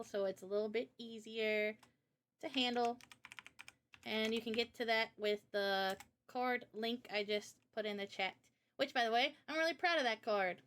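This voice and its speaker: A woman with a fairly high voice talks casually as a livestreamer. Her delivery is chatty and informal, full of everyday slang. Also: fairly bright tone, clear, resonant tone. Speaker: live streamer and indie game developer.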